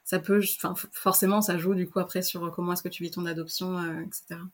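A woman's voice: fairly low pitch, level tone, fast talker. A young woman born in Madagascar, talking casually as a podcast guest, hesitant with many fillers and restarts. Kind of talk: casual interview chat.

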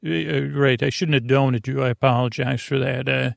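A man with a creaky, gravelly voice at a middling pitch rambles through a bedtime podcast. He halts, doubles back and pokes fun at himself with whimsical asides, in a close, dry sound. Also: steady tone, dry recording. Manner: meandering, halting, self-deprecating; whimsical, acting out little imaginary exchanges. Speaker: podcast host.

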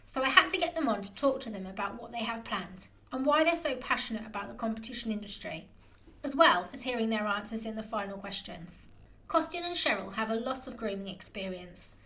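A woman hosting a podcast, reading a scripted intro and interviewing guests in a measured, encouraging way. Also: fairly high pitch, animated, bright, forceful tone. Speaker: podcast host, dog groomer.

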